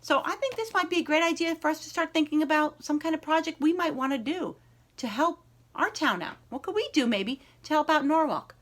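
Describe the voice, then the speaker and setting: Female library read-aloud host, higher-pitched, expressive and encouraging with kids, leans on key words. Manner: dramatic pauses, stretched key words, encouraging. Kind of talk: expressive children's storybook read-aloud, then chat to young listeners.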